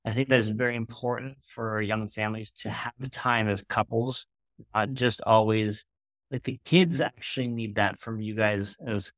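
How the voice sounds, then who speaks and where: A man's voice: fairly low pitch, fairly even intonation, moderate pace, a bit bright. Thirties guy talking real estate, loose and chatty, dry no-echo sound. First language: Hungarian. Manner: conversational, loose and rambling. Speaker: real estate investor guest. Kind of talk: casual interview chat.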